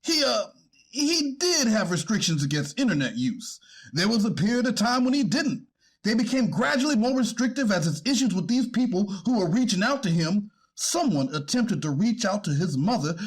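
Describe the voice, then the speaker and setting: A man playing a polite, conciliatory defense attorney with a husky voice in a readers theater court hearing. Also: high-pitched, sing-song, normal speed, full character voice. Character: polite male defense attorney pleading for his client.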